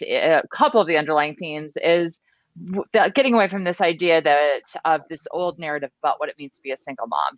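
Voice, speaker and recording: A woman blogger on a discussion panel, speaking in a brisk, assertive way. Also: low voice, no room sound. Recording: dull, lo-fi sound.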